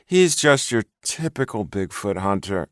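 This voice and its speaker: A man voicing a dry, unimpressed woman officer who speaks in a flat, matter-of-fact way, in an audiobook. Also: lowish voice, a little slow, no echo, voice pushed up.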